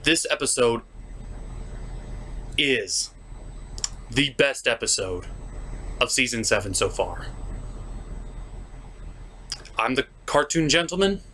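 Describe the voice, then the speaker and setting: A man voicing an excitable, sentimental puppet who hosts a cartoon review show with emphatic, theatrical delivery. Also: mid-range voice, a little slow, pauses often, a little hiss or hum. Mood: earnest praise. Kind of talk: lively semi-scripted review commentary.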